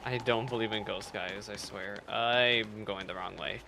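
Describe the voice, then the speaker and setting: A man's voice: lowish voice, fairly even intonation, measured pace, vocal fry, noisy background. A man doing casual live gameplay commentary as a streamer, muttering offhand asides. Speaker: game streamer. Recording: game music behind.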